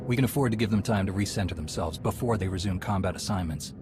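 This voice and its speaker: Man acting a calm, low-voiced heroic knight. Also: fast talker, natural voice. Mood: calm, attentive. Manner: calm, concise, earnest. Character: composed, heroic Jedi knight. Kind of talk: video game dramatic acting. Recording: music under the voice.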